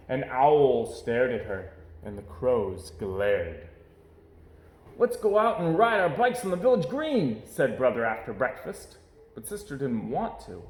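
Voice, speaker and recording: A man narrating a children's picture book aloud in an expressive storytelling style. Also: medium pitch. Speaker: storybook reader. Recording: roomy, echoey indoor sound.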